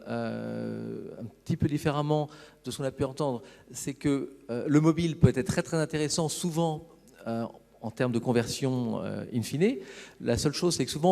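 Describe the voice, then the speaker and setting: A man giving a semi-improvised, halting and discursive explanation as an executive at a conference. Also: medium pitch, darkish tone. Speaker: business executive presenting a case study. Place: conference hall on stage. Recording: reverberant hall sound.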